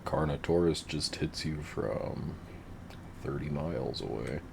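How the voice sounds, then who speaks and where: Super deep gravelly dude rambling slow over his game, swears a lot, noisy bg. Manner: laid-back, swearing, rambling. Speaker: gamer narrating his play. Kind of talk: casual gameplay commentary.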